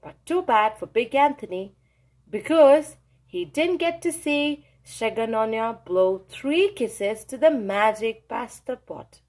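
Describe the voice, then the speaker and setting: A woman reading a children's storybook aloud in an expressive, deliberate storytelling manner. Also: a little high. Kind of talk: animated read-aloud storytelling.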